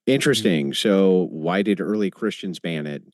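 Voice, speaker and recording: A deep-voiced man pressing his questions. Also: no room sound, studio quality. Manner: presses with pointed, rapid follow-up questions. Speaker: debater. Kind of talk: live debate.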